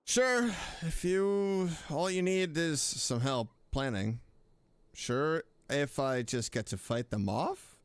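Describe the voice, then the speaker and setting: Animated male game streamer. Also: a little high, slow, clean tone, no room sound. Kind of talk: casual game commentary, reacting aloud.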